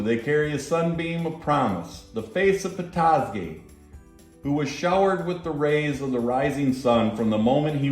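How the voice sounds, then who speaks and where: A man's voice: mid-range voice, darkish tone. American man reading a storybook, echoey room. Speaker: senator reading a children's book aloud. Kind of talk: children's storybook read-aloud. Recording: echoey room with faint music.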